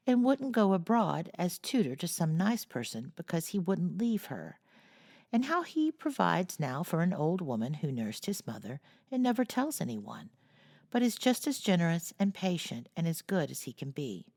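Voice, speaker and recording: A woman voices a proper, motherly teenage girl from a classic storybook, earnest and a little preachy. The voice sits low with a gravelly grain but moves a lot in pitch, close and dry. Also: very animated, normal speed, a little mellow, dry recording. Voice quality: earnest, lecturing. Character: motherly, proper seventeen-year-old girl.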